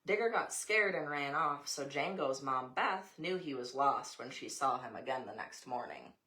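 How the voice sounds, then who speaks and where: A woman's voice: a bass voice, lively intonation, moderate pace, pushed and bright. An American woman reads a children's picture book aloud, adding informal asides to a young child. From United States. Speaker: storybook reader, mother.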